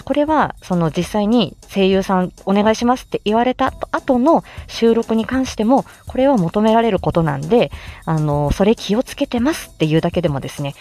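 A woman's voice: mid-range voice, a little mellow. A woman giving a casual, polite explanation on a livestream, chatty and encouraging, speaking into a smartphone. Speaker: amateur voice actor explaining how to make voice samples. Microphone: smartphone, close.

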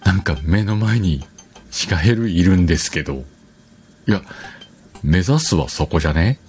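Very deep-voiced, animated male radio host. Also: normal speed, soft background music. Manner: chatty, colloquial, addresses listeners directly. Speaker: online radio show host. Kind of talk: casual solo talk and advice to streamers.

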